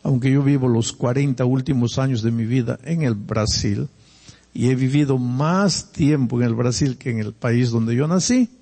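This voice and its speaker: Older man, Peruvian Spanish accent, preacher, gravelly, long hall reverb. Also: medium pitch, moderate pace. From Peru. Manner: animated, swings between loud emphasis and quiet asides. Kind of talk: sermon with personal stories.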